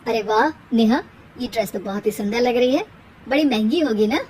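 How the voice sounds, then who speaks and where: A woman's voice: on the high side, a little fast, darkish tone, a little hiss or hum, natural voice, poor audio. A woman voicing a gossipy, exclamatory older woman in a Hindi cartoon story. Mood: haggling, admiring. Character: teasing, gossipy older women, mother-in-law and neighbour.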